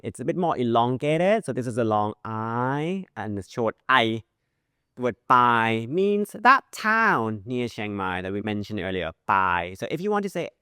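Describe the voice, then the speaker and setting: Guy teaching pronunciation, slow and bouncy, lots of pitch jumps, dry close sound. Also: bright, forceful tone, clean tone, dry recording. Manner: modeling pronunciation with drawn-out sounds. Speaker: language teacher. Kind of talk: language lesson.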